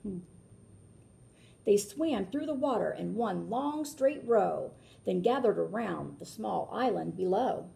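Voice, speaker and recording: A woman reading a children's picture book aloud like a teacher, animated, with explanatory asides and questions to her listeners. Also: medium pitch, slow, creaky.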